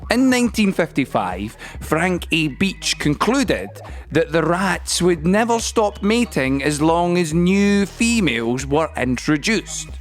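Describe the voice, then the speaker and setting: Nervy playful storyteller, slow-paced, lively swooping pitch, mock lecture, chatty, audio drama. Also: male voice. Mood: measured explaining. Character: playful, nervy male storyteller explaining a science experiment. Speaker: actor and narrator in an audio play. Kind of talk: theatrical storytelling addressed straight to the listener. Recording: music bed under the voice. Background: music.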